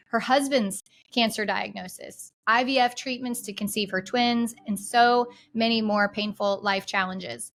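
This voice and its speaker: A woman's voice: mid-range voice, fairly bright tone. A woman hosting an interview podcast, introducing her guest and asking questions with enthusiasm and lots of casual fillers. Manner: enthusiastic, many fillers like "like" and "you know". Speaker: podcast host.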